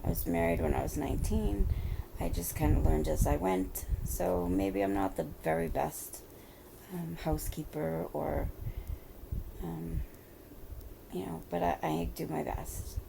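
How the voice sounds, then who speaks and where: A hoarse woman in her thirties talking at home in a halting, rambling vlog, full of fillers. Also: low voice, steady tone, noisy background. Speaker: vlogger. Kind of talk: personal confessional vlog.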